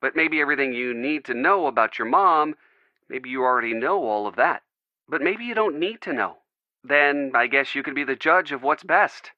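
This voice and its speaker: Game role, male actor: young American boyfriend, loyal, caring, wry. Animated delivery, dry and slightly muffled recording. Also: mid-range voice, normal speed, dry recording, close to an everyday voice. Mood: earnest, concerned. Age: young adult. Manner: earnest, gently joking. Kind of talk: video game dialogue, phone conversation.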